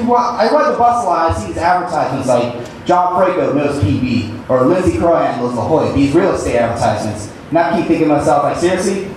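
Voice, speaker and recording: A young man performing a stand-up comedy set on a club stage, with an awkward, rambling, self-deprecating delivery. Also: a little high. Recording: echoey club room, distant mic, background noise.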